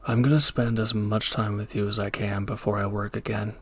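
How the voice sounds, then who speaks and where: A man playing a reformed villain turned protective lover in an intimate audio roleplay, with a gravelly, breathy voice. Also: on the low side, level tone, fairly quick, a bit bright. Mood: soft, devoted. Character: reformed male villain, tender protective lover, gruffly firm.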